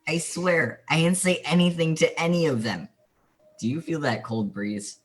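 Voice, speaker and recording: An excited teenage boy, voiced by an actor, talks in casual slang in a homemade radio play. The voice sits at a middling pitch. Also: moderate pace, natural voice. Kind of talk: amateur radio play acting.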